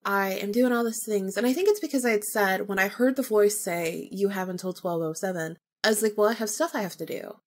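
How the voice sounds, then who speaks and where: A woman with a mid-pitched voice hosts a podcast, telling a story from her own life in a loose, conversational way. She is animated and openly incredulous, and she swears freely as she goes. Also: brisk, few pauses. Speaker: podcast host. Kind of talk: casual personal storytelling.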